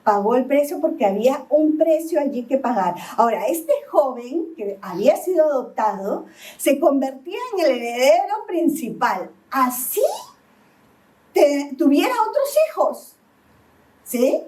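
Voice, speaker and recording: A woman's voice: high voice, lively intonation, a little slow, a little mellow, recorded from a distance. A middle-aged woman from Lima with a Peruvian accent, preaching a sermon as pastor and often asking the congregation "¿sí?". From Peru, Lima. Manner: asks the congregation "¿sí?" again and again. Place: church.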